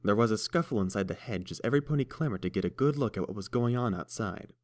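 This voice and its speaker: Low-voiced male audiobook narrator. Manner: steady, measured storytelling. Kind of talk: scripted fan fiction narration.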